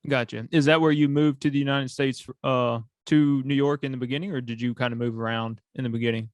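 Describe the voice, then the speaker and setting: A man's voice: medium pitch, steady tone, brisk, dry recording. A man hosting a coffee podcast, asking curious questions in a laid-back, slangy way. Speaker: podcast host. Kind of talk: casual interview.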